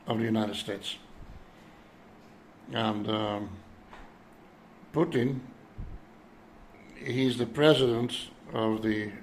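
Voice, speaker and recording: A man in his seventies from Europe speaking in an unscripted, reflective monologue, halting as he searches for words. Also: mid-range voice, slight background noise. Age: 70s. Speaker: citizen vlogger addressing world leaders.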